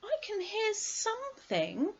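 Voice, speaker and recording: A woman voicing a kindly, unafraid young boy in a storybook, with a calm, reassuring manner. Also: very high-pitched, slow pace, pitched above the performer's own voice, full character voice.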